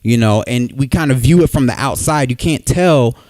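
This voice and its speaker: A young man co-hosting a podcast who pushes back in a measured, calm way. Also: mid-range voice, no echo. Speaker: podcast co-host. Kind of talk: casual debate chat.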